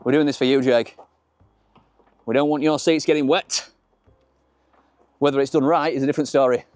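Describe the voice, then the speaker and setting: A man's voice: mid-range voice, steady tone, moderate pace, darkish tone, no echo. A man with a Yorkshire accent presenting a casual car vlog in chatty, colloquial British slang. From Yorkshire, England. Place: in and around a small open-top car outdoors. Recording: noisy, car and outdoor ambience.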